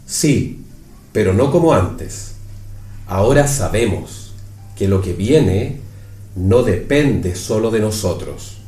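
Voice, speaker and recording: Guy playing thoughtful disciple in a radio play, lowish slow voice, echoey, music behind. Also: lively intonation, dark tone. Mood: pensive. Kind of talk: youth radio play acting. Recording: roomy echo, music behind.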